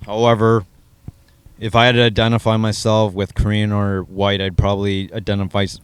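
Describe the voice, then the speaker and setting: Low, smooth-voiced American man. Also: no echo. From Minnesota, United States. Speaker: interviewee sharing his adoption story. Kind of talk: measured personal interview answers.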